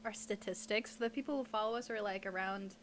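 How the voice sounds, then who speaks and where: A woman's voice: mid-range voice, a little fast, slight background noise. Young woman chatting playfully. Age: young adult. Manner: playful, teasing, informal. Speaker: podcast co-host. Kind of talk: casual chat and reading creepy stories aloud.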